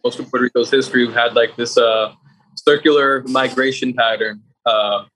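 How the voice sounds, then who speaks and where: Male interviewee from around New York, average pitch, conversational, easygoing podcast delivery. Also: steady tone, moderate pace, fairly bright tone, rough recording. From New York area, United States. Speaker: podcast guest, entrepreneur. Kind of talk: casual interview answers.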